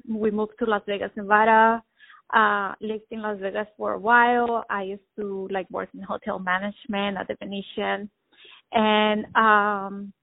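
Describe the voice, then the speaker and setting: A woman's voice: mid-range voice, dry recording. Chatty woman on a tinny phone line. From Colombia. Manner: chatty, fast-flowing, many "like"s. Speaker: guest artist. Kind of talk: phone interview. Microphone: telephone.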